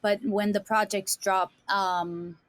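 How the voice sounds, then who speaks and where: A woman's voice: medium pitch, little pitch movement, takes it slowly, no echo. A woman from Denmark with a Danish accent, a musician guest on a podcast, talking casually with lots of fillers and restarts. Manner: rambling with many fillers and restarts. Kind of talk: casual chat.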